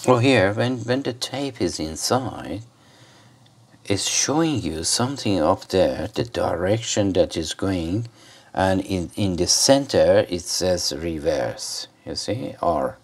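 A man with a low-pitched voice reviews a gadget in an informal, unscripted way. He speaks slowly and hesitantly, wandering from one remark to the next. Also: lively intonation, husky. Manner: rambling, hesitant. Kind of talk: casual unscripted product demonstration.

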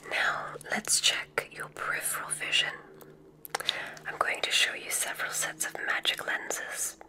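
Slow, whispering ASMR roleplayer. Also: low-quality recording. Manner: soothing, gentle, deliberate, softly approving. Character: kindly witch examiner at a magic school.

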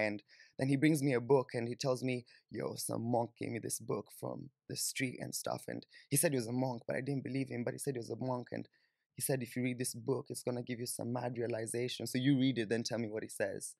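A man's voice: mid-range voice, brisk, gravelly, darkish tone, no echo, studio quality. A young man with a Kenyan accent telling personal stories conversationally and informally, with lots of "like" and "you know". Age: young adult. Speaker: podcast guest. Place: small indoor podcast studio, on a sofa. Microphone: close studio microphone on boom arm.

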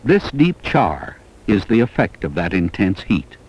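A man reading scripted educational film narration in a measured, deliberate way. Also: sits low, sing-song, a little mellow, breathy edge, low-quality recording.

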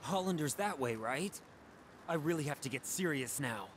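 A man voicing a brash, good-hearted young soldier hero in a video game, speaking in an expressive, boyish, informal way over game music. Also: a little high, moderate pace, background noise, natural voice. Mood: surprised, casual.

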